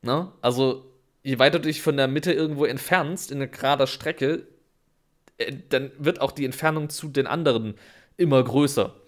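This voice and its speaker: A man's voice: mid-range voice, normal speed. German man explaining, with a cold. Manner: chatty, explains with examples, many fillers. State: has a cold, coughing. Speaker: podcast co-host. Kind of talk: casual podcast explanation.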